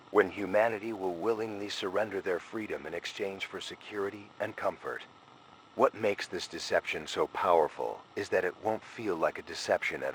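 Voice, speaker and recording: A man's voice: sits low, slight background noise, rough recording. A man playing a frightened scholar who leaves a grave, ominous final warning in a scripted analog horror recording. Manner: grave, ominous, urgent, deliberate phrasing.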